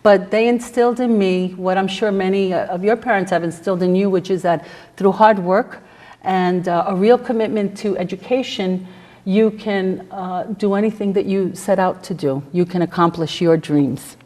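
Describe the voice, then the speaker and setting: A middle-aged woman whose first language is Spanish, giving a prepared speech to a campus audience in a measured, formal way with frequent "uh" fillers. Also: mid-range voice, dark tone. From New York City. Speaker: guest speaker, federal prosecutor.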